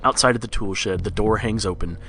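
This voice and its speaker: A man's voice: lowish voice, fairly quick, fairly bright tone, soft background music. A man narrating a scripted horror story in the style of a read-along storybook record.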